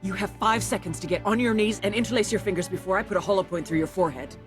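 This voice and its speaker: A woman plays an assertive government agent in a video game. She delivers her lines at a quick clip in a mid-range voice. Music is clearly heard behind her. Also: mid-range voice, natural voice. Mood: threatening. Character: aggressive female government agent making an arrest. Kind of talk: dramatic video game cutscene dialogue. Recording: music under the voice.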